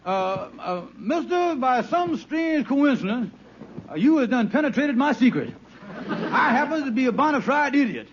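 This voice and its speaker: High-pitched bumbling radio schemer. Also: male voice, sing-song, normal speed. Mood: sheepish bluffing. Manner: stammering, folksy ungrammatical talk. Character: bumbling, fast-talking male schemer playing dumb. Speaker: sitcom actor. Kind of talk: 1940s-50s radio comedy acting. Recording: muffled, noisy old radio recording.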